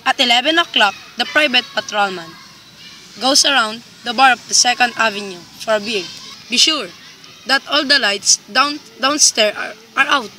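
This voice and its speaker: Actress as methodical, ruthless gang boss in radio drama; high, very bright, commanding voice. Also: female voice, normal speed, a little hiss or hum, rough recording. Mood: businesslike. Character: cold-blooded crime boss ordering a murder. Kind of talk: amateur radio play acting.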